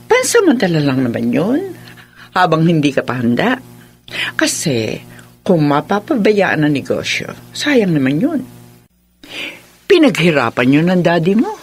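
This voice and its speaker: An actress playing a scheming, sweet-talking aunt in a Filipino radio drama, coaxing and businesslike, with a Filipino accent. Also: female voice, sits low, huge pitch swings, measured pace, pauses often, breathy edge, faint music behind, heavily acted.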